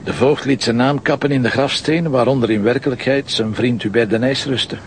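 A Flemish male detective played by an actor in a crime drama. He speaks at an average pitch, terse and wry, with little patience for fuss. Some background noise and rumble sit behind the voice. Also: steady tone, brisk, lightly acted. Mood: explaining. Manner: terse, dry, impatient. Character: blunt, wry male deputy police commissioner. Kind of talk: naturalistic crime drama acting.

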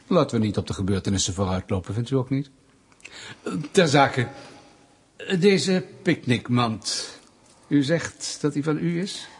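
Husky, smug, suspicious state interrogator. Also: male voice, mid-range voice, animated, measured pace, pauses often, full character voice. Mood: sardonic. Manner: pedantic, sardonic, insistent questioning. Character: suspicious, smug Soviet state security interrogator, menacing and petty. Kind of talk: Dutch radio play acting.

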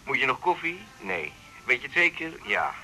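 A man's voice: mid-range voice, big pitch swings, normal speed, sharp tone, faint music behind, rough recording. An actor playing an older father in a 1980s radio drama, speaking in a dry, matter-of-fact way. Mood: calm.

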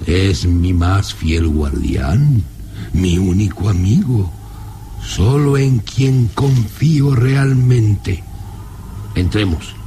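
An actor playing a domineering, menacing baron with a mocking, commanding manner in a radio drama. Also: male voice, on the low side, animated, warm tone, a little hiss or hum. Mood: mocking, proud. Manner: slow taunting menace, commanding. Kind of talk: vintage radio drama acting.

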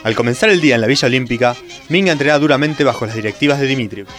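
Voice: medium pitch, very fast, a bit bright, soft background music, lightly acted. An actor playing a mock-grand narrator of a comic radio serial.

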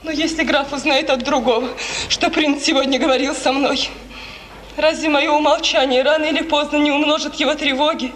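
An actress playing a frightened, obedient young bride in a 1950s radio tragedy, speaking in a breathless, impassioned, tremulous way. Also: female voice, sits high, steady tone, fairly quick, fairly bright tone. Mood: earnest, pleading. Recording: old echoey radio recording, hissy, long reverb.

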